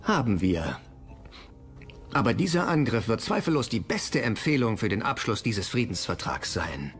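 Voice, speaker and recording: Commanding dutch statesman, persuasive, very varied pitch, docudrama, faint music. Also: very animated, normal speed. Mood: confident. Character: resolute, persuasive Dutch statesman urging attack. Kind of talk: dramatised history documentary dialogue.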